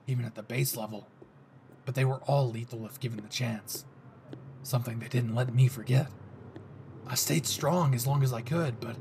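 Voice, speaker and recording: Guy doing a gruff cocky young hero, possessive and sweary, audio roleplay, noisy background. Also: medium pitch, darkish tone, natural voice. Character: brash, possessive young male hero, gruff and foul-mouthed. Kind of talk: dramatic audio roleplay acting addressed to the listener.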